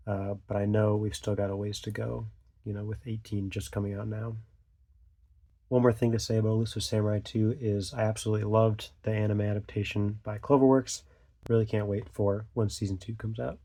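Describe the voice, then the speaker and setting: A young man with a low, mellow, stuffy voice, getting over a cold. He rambles through an unscripted vlog in a flat, even tone, full of ums and trailing thoughts. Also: fairly quick. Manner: hesitant, many fillers and trailing thoughts. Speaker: channel host.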